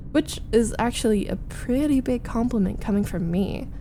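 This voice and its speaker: A woman voicing a flustered, needy young roommate in a chatty, halting, defensive roleplay audio, confiding as she vents. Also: fairly high pitch, mellow, a little hiss or hum. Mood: fond, wistful. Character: flustered, needy young female roommate venting about a date. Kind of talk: roleplay ASMR audio acting.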